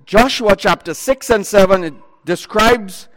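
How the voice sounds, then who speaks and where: Guy preaching into a mic, kinda high voice, loud, lots of uhs and restarts. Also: unhurried, big-room sound. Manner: loud voice, talks in bursts with many fillers and restarts. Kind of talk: sermon retelling a Bible story.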